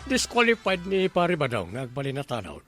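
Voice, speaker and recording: A man's voice: a little high, wildly swooping pitch, brisk, fairly bright tone, soft background music, exaggerated voice. A man with an Ilocano accent acting as a teasing, exasperated village captain in a radio comedy drama. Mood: exasperated banter. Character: male village captain testing recruits for watchman, bantering, exasperated.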